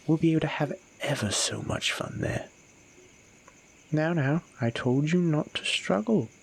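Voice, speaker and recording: A man voicing a sadistic male monster in an audio roleplay, slow and taunting, slipping into breathy whispers. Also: fairly high pitch, slight background noise, low-quality recording. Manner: teasing, menacing, slow and savoring.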